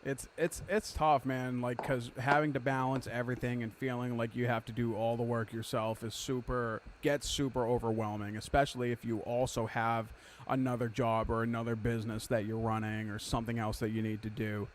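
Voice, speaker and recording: A man's voice: medium pitch, level tone, fairly quick, a little hiss or hum. A man in his thirties hosting a podcast, chatting casually in an emphatic, profane way and giving advice. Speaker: podcast host.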